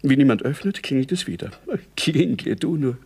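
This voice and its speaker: An actor playing an excitable young man, telling his tale theatrically in a 1960s radio play. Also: medium pitch, moderate pace, gravelly, slightly rough. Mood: agitated, dramatic. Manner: theatrical, animated storytelling. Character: excitable young actor recounting a hungover mishap.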